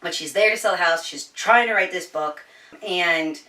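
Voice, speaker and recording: A woman's voice: fairly low pitch, moderate pace, sharp tone, recorded from a distance. A woman hosting a casual, chatty book review, informal and giggly, with some swearing. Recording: roomy echo, slightly off-mic.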